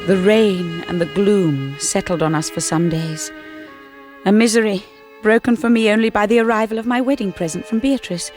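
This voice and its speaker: A woman's voice: mid-range voice, moderate pace, darkish tone, soft background music, natural voice. A woman playing a timid, anxious young wife in a radio drama, earnest and apologetic, with a refined English accent. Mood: rueful, reflective. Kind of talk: radio drama dialogue and narration.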